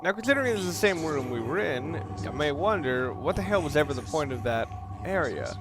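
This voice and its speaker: A man's voice: a little high, very animated, fairly quick. A man giving casual, wry and rambling commentary as he talks through a video game walkthrough. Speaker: let's play commentator. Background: game music and sound.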